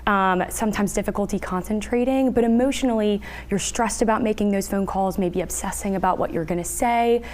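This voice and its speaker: A woman's voice: medium pitch, fairly quick, hardly any pauses, a little mellow. Female counselor explaining, mid-range voice. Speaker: therapist guest, licensed counselor. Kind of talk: explanatory interview answers.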